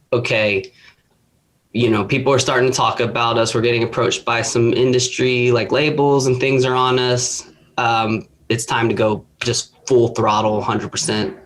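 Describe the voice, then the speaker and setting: A young man from Atlanta, a band musician, answering interview questions in a relaxed, rambling way. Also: medium pitch, rough recording. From Atlanta, USA. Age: young adult.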